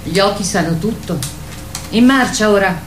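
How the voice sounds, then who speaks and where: A woman's voice: medium pitch, normal speed, natural voice. A woman as a laconic goose, echoing room. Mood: assured, commanding. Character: laconic, self-assured wild goose leading the journey. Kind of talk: theatrical radio drama dialogue. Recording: echoey room, noisy background.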